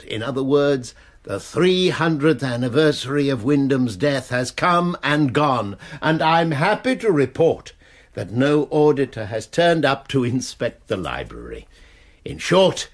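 An actor playing an elderly, formal college master in a British radio drama. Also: male voice, fairly high pitch, exaggerated voice. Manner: formal, speechmaking.

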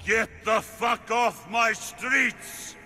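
A man's voice: very high-pitched, fairly even intonation, extremely slow, sharp tone, full character voice. A man playing a brutal, foul-mouthed crime boss villain in a video game cutscene, sneering, menacing and vengeful. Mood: vengeful rage. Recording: long reverberant hall, music behind. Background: music.

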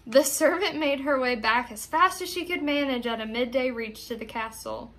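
A woman's voice: high-pitched, normal speed, a bit bright. A young adult American woman, a teacher reading and talking expressively to her pupils. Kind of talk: expressive storybook narration.

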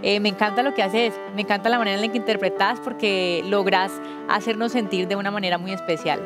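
A woman's voice: medium pitch, fairly even intonation, fast talker, over music. A young woman, coaching on a TV talent show and giving effusive spoken praise in a large studio. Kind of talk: casual spoken feedback.

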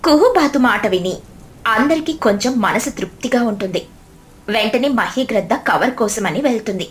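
A woman's voice: on the high side, animated, a little fast, slight background noise. A woman narrating a scripted children's moral story as a storyteller. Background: music.

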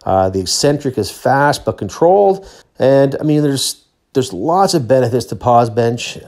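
A man's voice: medium pitch, expressive, a little slow, a little mellow. A middle-aged man giving a casual, plain-spoken voice-over of training footage, explaining as he goes. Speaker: athlete and training vlogger.

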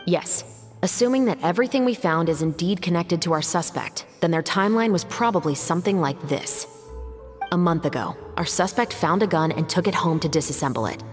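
A woman voicing a composed, serious young police investigator in a video game, speaking in a measured, articulate, matter-of-fact way. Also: on the low side, expressive, faint music behind, lightly acted. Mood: focused, analytical. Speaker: game character voice actor. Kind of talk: scripted video game dialogue.

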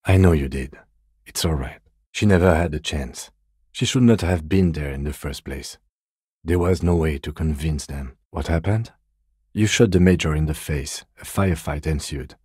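An actor playing a composed, weary police detective in a video game, with dry, deadpan, measured delivery. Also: male voice, extremely low pitch, husky, dry recording. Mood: weary, matter-of-fact.

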